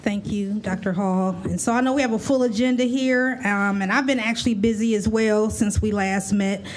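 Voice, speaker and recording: A woman's voice: mid-range voice, steady tone, moderate pace, a little hiss or hum. Earnest woman giving board remarks. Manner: earnest, many fillers. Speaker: board member. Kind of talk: meeting remarks. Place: school board meeting room.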